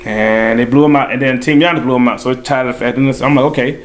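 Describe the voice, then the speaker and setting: A young man with a hoarse voice co-hosting a casual sports chat, laid-back and laughing often. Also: mid-range voice, steady tone, fast talker. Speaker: podcast co-host.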